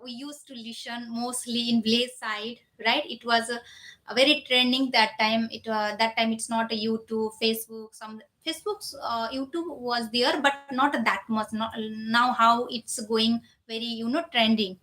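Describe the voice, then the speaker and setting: Bright-voiced woman, native Nepali speaker. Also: on the high side, fairly even intonation, normal speed, poor audio. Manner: non-native English with loose grammar, often repeats "you know". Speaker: live stream host, English learner. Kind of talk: casual live chat, answering viewers.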